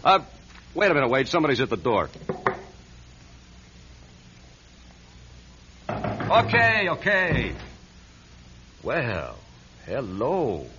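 A man's voice: medium pitch, wildly swooping pitch, a little slow, bright, forceful tone, full character voice. An actor playing a vain, womanizing male celebrity with oily charm and a stammer, in a radio drama. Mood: brash, wheedling. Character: smooth, vain, womanizing celebrity man, flustered when cornered. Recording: dull radio recording with faint noise.

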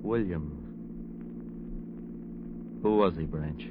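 An actor playing an anxious, guilt-ridden lawyer in 1940s radio drama, speaking in an earnest, uneasy, halting way. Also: male voice, a bass voice, exaggerated voice. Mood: shocked. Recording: muffled, noisy old radio recording.

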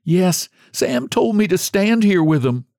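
A man's voice: high-pitched, animated, unhurried, warm tone, no echo, clean studio sound, voice pushed up. A man voicing a frightened young rural mother who exclaims tearfully in rustic dialect. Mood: anxious.

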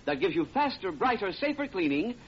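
A man announcing a 1940s radio commercial with emphatic, deliberate, scripted sales delivery. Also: very animated. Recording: muffled, rumbly, noisy old radio recording.

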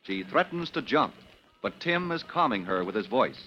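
A man's voice: medium pitch, big pitch swings, normal speed. Male American announcer, wide swooping delivery, melodramatic, stentorian, vintage radio narration, muffled noisy recording. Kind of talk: dramatic old-time radio narration.

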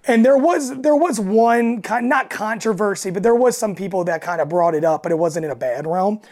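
A raspy-voiced young man from Delaware, a musician talking as a guest in a casual interview, animated and chuckling, often restarting his sentences. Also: high-pitched, moderate pace.

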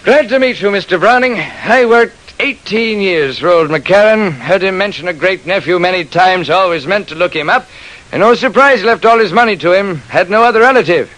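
Man playing bluff big businessman, blunt clipped phrases, raised pitch, lively intonation, classic radio acting, vintage recording. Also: brisk, rough recording. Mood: bluff, assured. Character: big bluff hearty general manager. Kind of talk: old-time radio drama acting.

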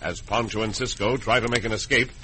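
A man's voice: fairly low pitch, a little fast, sharp tone, a little hiss or hum, rough recording. An American man narrating a western serial as announcer, in dramatic old-time radio style.

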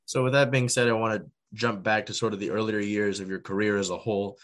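A man with a low-pitched voice hosts a sports interview podcast. He circles around his questions, piling on fillers and restarts before he gets to the point. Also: steady tone, fast. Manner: rambling questions with many fillers. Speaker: podcast host.

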